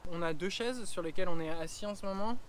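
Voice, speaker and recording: Chatty man with a high voice. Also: quick pace, slight background noise. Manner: chatty, many fillers. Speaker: travel vlogger. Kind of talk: casual vlog walkthrough. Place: roof tent on a car, then outdoors in bush.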